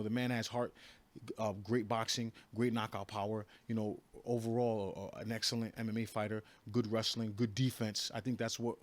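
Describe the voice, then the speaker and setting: Man, 30s, from New York, fairly low voice, brash and confident, stammering restarts, casual interview. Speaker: professional fighter.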